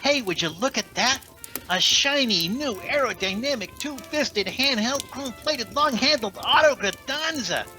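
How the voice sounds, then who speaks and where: A man's voice: extremely high pitch, lively intonation, measured pace, over music. An actor playing a brash, wisecracking male Christmas elf in an old-time radio comedy. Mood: wry, impressed.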